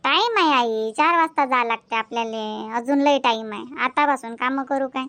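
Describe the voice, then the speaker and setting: A woman's voice: sits high, normal speed, a bit bright. A woman voicing a busy young village daughter-in-law and mother in a cartoon comedy, chatty, with a Varhadi Marathi accent. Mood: matter-of-fact. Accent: Varhadi Marathi from Vidarbha. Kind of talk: amateur cartoon comedy dubbing in village dialect.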